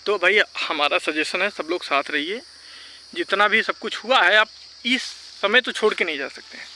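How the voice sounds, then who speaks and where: Guy, really sharp high voice, arguing his point informally with english words mixed in, faint insects outside. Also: moderate pace, a little hiss or hum. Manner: insistent, repeats points; mixes English words into speech. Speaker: vlogger advising exam candidates. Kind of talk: informal argumentative advice talk.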